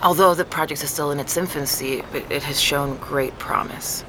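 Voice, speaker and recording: An actress playing a calm woman neurologist who explains things patiently and weighs the evidence, in an audio drama. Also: low-pitched, moderate pace, slight background noise, low-quality recording. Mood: explaining.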